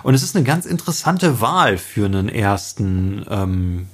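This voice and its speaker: Ein Mann als Co-Host eines deutschen Filmpodcasts, der in lockerem Gesprächston mit vielen Füllwörtern und Neuansätzen Hintergründe zu einem Film erklärt. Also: male voice, on the low side, very animated. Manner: explains with many fillers, pauses and restarts. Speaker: podcast co-host. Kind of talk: casual film podcast chat.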